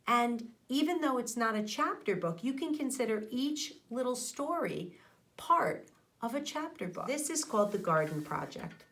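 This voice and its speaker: A middle-aged woman, an elementary teacher reading aloud and talking directly to young children in an expressive way, close to a microphone indoors. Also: on the high side. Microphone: microphone on a boom arm close to mouth.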